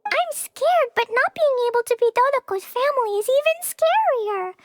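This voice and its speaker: A woman's voice: extremely high pitch, animated, normal speed, dry recording, clean studio sound. A woman voicing an earnest, brave, innocent little girl knight in a video game, with simple, eager phrasing. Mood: determined. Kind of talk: animated video game character acting. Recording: music behind the voice.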